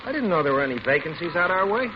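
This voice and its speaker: A man's voice: a little high, sing-song, rapid-fire. An actor playing a cheerful, teasing young motorcycle policeman in an old radio drama, with a jaunty, breezy manner. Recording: muffled, noisy old radio recording.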